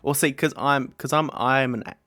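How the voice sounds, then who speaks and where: A man's voice: mid-range voice, pushed and bright, no echo. Easygoing man, dry close sound. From Australia. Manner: easygoing, laughs a lot, quick affirmations. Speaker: podcast guest. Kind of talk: casual gaming chat.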